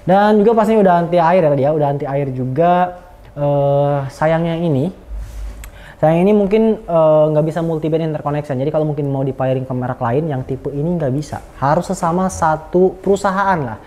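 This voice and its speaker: A man's voice: on the high side, warm tone. A man, giving an informal, slangy, unscripted product review in a chatty way.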